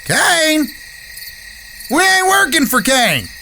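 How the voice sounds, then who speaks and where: An actor playing a cocky, menacing young outlaw in a Western audio drama, with florid mock-polite talk. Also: male voice, very high voice, big pitch swings, slow, sharp tone, a little hiss or hum, heavily acted. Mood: polite menace.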